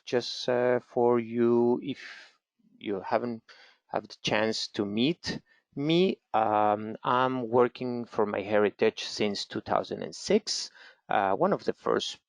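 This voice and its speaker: A middle-aged man with a Venezuelan Spanish accent presenting a live webinar demo, unhurried and chatty, with lots of fillers. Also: lowish voice, no room sound. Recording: slightly dull lo-fi webinar audio.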